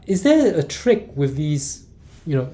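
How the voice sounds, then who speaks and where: A man's voice: a little high, sing-song, a little slow, dark tone. A man from Singapore interviewing a guest, thinking aloud as he frames long questions. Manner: rambling, thinks aloud while asking.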